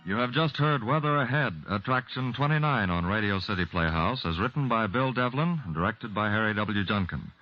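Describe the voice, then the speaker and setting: A man working as a radio announcer, reading scripted credits in a formal, measured manner. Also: on the low side, expressive, over music. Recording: muffled old radio broadcast.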